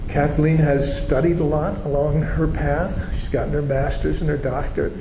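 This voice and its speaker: Male host of a fellowship meeting: earnest, deliberate introduction, in an echoing, noisy room. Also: mid-range voice. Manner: earnest, repetitive, slow and deliberate. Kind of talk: spoken introduction to a congregation. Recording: echoey room with background noise.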